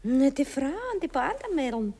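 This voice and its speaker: An actress playing a sleepy, carefree young streetwalker with a Viennese accent, offhand and pert in a 1960s radio play. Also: female voice, high voice, sing-song, normal speed, dark tone. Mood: matter-of-fact, chatty. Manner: drowsy, offhand, matter-of-fact.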